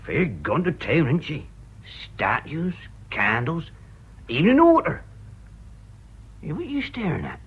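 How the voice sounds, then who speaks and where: An actor playing a jumpy, grasping British working-class villager with a creaky, colloquial voice in a radio drama. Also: male voice, on the high side, measured pace, long pauses, exaggerated voice. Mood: awed, excited. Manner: colloquial, excitable. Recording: slightly noisy, dull radio recording.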